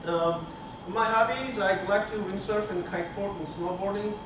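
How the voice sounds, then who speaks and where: A middle-aged man from Turkey talks through his slides at a tech conference, pausing often and restarting his sentences. His voice sits a bit high and comes from across the room. Heavy reverb and steady room noise surround him. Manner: halting, many fillers and restarts. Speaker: conference speaker. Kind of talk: technical conference talk. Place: conference room with projector screen. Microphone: room camera from the back of the hall. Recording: echoey hall, speaker far from mic, room noise.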